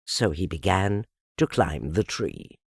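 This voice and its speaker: A woman with a husky voice narrating a children's storybook in a theatrical, deliberate, expressive way. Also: extremely low pitch, no room sound, clean studio sound. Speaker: audiobook narrator.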